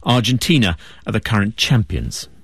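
A man presenting the news in a measured, formal way. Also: on the low side, expressive, vocal fry, over loud music.

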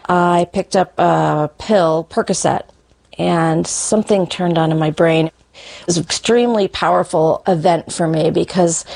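A woman's voice: fairly low pitch, gravelly, darkish tone. A woman, a former physician, speaking candidly and reflectively in an interview.

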